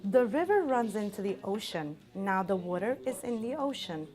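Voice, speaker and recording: A woman whose first language is Turkish, a teacher explaining her class and guiding students with clear, measured talk. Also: mid-range voice, very animated, darkish tone.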